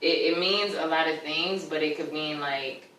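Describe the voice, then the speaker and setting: A woman's voice: low-pitched, moderate pace. Young woman guest, loose easy talker, recorded distant in an echoey room. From Washington, D.C. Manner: relaxed, trailing off with fillers. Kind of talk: casual chat. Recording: echoey room, far from mic, noisy.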